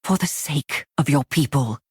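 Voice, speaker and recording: A regal elf leader from a game, deep voice, dry sound, measured n commanding. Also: female voice, darkish tone, husky, no room sound. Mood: stern. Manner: stately, measured, authoritative. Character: stern, regal female night elf ruler. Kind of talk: dramatic video game voice acting.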